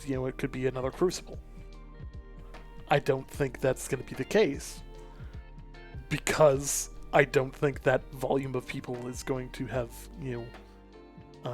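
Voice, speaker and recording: A man chatting casually and thinking aloud on a gaming show, rambling in a halting way. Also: mid-range voice. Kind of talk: casual chat.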